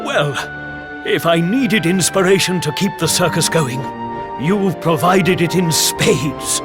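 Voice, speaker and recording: A man's voice: fairly high pitch, animated, slow pace, music in the background. A man playing a portly, fatherly middle-aged circus ringmaster in a video game. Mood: moved. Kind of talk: video game cutscene acting.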